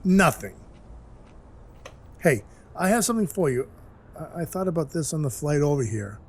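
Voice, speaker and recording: A man acting in an audio drama as an awkward, gruff but kindhearted Christmas dwarf who rambles earnestly and runs himself down. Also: a little high, sing-song, normal speed, exaggerated voice. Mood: awkwardly earnest. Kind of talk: audio drama acting with first-person narration. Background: music under the voice.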